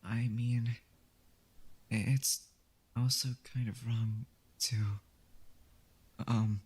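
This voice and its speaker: A man voice-acting a hesitant, reluctant young amateur investigator in an animated mystery, stammering a little as he talks. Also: medium pitch, drawn-out words, slightly rough. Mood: subdued, hesitant.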